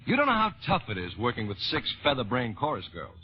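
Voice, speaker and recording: A hammy smug showbiz star, swoopy animated voice, muffled old radio. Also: male voice, medium pitch, crowd noise. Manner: brisk, showy, condescending. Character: hammy, self-important, flirtatious male vaudeville headliner. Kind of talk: old-time radio comedy drama acting.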